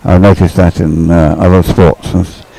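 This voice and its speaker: A man's voice: low voice, little pitch movement, normal speed, warm tone. A man giving casual, explanatory live race commentary as a sports commentator.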